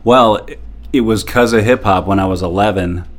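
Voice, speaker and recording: A man in his forties from Japan, a DJ guest telling stories in an animated, laughing way with lots of fillers. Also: on the low side, normal speed. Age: 40s. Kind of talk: casual interview storytelling.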